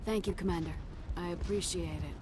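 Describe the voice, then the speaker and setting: A woman's voice: lowish voice, fairly even intonation, a little fast, noisy background, close to an everyday voice. A woman playing a practical, dry colony technician in video game dialogue. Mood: dry, polite.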